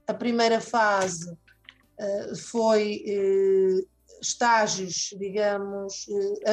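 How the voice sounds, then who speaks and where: A woman explains a program at a deliberate pace, pausing often and filling gaps with hesitations. Her voice sits at a middle pitch, with faint music beneath. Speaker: foundation director. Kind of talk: interview answers.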